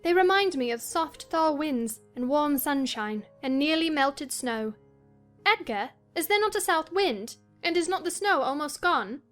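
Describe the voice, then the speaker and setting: A woman's voice: high voice, lively intonation, a little slow, a bit bright, soft background music. A woman playing a frail, wistful invalid young wife in a dramatized audiobook.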